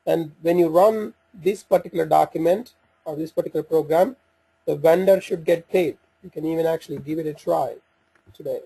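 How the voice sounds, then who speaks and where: A man giving an unscripted software walkthrough, talking through each step in a halting way as he thinks aloud. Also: on the high side, creaky, husky, poor audio. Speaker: software demo presenter.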